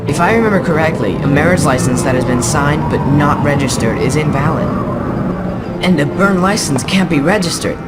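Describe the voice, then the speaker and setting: A man's voice: on the high side, expressive, moderate pace, lightly acted. An actor playing an earnest, bookish, resourceful orphan boy in a video game, speaking precisely. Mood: determined. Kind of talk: video game character acting. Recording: loud music behind the voice.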